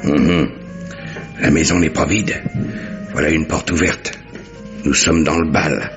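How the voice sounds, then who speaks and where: A commanding police inspector from an old French radio play. He is low-voiced, brash and mocking. The recording is dulled, with music clearly behind him. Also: steady tone, slow pace, lo-fi sound, heavily acted. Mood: brusque, sardonic. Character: gruff, brash, bossy male police inspector. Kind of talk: 1950s French radio drama acting.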